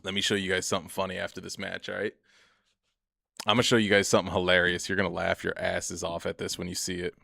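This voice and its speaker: A man's voice: sits low, monotone, quick pace, gravelly, pushed and bright, no echo. A young man streaming a game, rambling and swearing as he chats to viewers about himself. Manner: rambling, profane, chatty, often laughs. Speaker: gaming streamer. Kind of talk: casual gameplay commentary.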